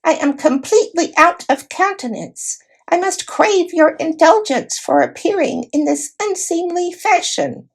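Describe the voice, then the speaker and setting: A woman acts out a tiny gentleman mouse from a storybook, proud of his honor and stiffly courtly. The voice is very high and shrill, swooping with pompous dignity. Every phrase is formal and measured. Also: lively intonation, exaggerated voice. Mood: embarrassed, courtly.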